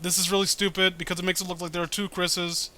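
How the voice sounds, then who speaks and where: A man's voice: high-pitched, flat delivery, fast talker, sharp tone. A man co-hosting a casual comic read-along, rambling and exasperated, with frequent swearing. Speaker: co-host reading a webcomic. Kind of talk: casual comic riffing and commentary.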